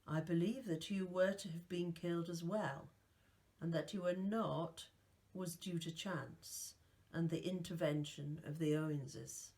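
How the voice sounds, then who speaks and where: A calm, ageless guardian who is no longer alive, as a woman reading aloud puts him on, speaks in a soft, velvety voice. His words come low and unhurried, grave and measured, the voice of a storybook protector.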